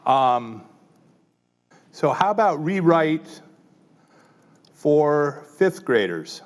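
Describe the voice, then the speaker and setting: A man presenting a live teaching workshop, talking casually with frequent fillers and quick "right?" check-ins to the room. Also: on the high side, animated. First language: English. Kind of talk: live tech workshop demo.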